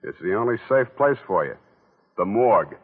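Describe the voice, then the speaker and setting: A man's voice: lowish voice, very animated, moderate pace, husky. An actor playing a dry, sardonic hard-boiled male private detective in a 1940s radio drama on a tinny old broadcast.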